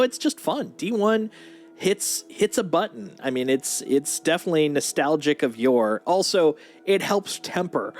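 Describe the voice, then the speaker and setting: A man chatting casually and in a rambling way as he live streams a video game. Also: a little high. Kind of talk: casual gaming stream chat.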